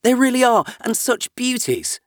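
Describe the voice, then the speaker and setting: A man's voice: extremely high pitch, very animated, moderate pace, no echo, clean studio sound, voice pushed up. A man voicing an eager, polite little girl in a storybook, keen and pleading. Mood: delighted.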